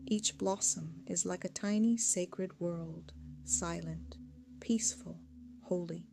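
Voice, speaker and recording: A woman narrating a guided meditation in a soothing, measured, hypnotic way, with phrases trailing off into a hush. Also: fairly low pitch, fairly even intonation, gravelly. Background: music.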